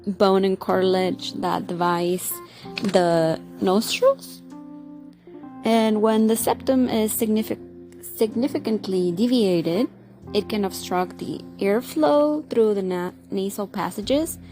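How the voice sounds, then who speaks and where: A woman's voice: medium pitch, darkish tone. A young woman vlogging in a casual, rambling way, full of fillers and restarts, her voice sounding congested and nasal. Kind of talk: casual talk to camera.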